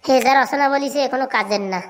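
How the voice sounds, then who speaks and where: A woman's voice: high-pitched, fast, lightly acted. A woman voicing a small, pert, nitpicking schoolchild in a comic cartoon, argumentative and correcting.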